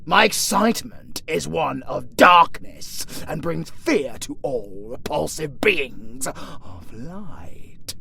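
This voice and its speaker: A British man voicing a gleeful, darkly flirtatious undead necromancer villain in a theatrical audio roleplay, swinging between hushed murmurs and shrill outbursts. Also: vocal fry, heavily acted. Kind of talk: dark comedic audio roleplay acting.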